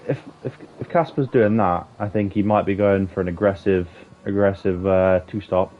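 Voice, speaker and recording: A man giving casual, excitable commentary on a sim racing qualifying session, swearing freely and bantering with his co-host. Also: low-pitched, normal speed. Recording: dull lo-fi stream audio with faint hiss.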